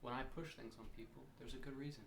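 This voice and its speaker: Gravelly, dry-humored man in casual chat. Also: mid-range voice, fairly even intonation, quick pace. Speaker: podcast co-host. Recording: roomy, echoey, faintly noisy home recording.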